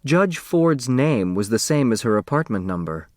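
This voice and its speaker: A man's voice: mid-range voice, big pitch swings, moderate pace, darkish tone, no echo, clean studio sound. A man reading a novel aloud as an audiobook narrator, in scripted literary narration.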